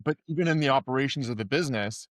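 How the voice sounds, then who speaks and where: A man's voice: medium pitch, racing, no room sound, studio quality. A man hosting a podcast, asking questions in a casual, searching way with frequent "you know". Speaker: podcast host. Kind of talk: interview questions.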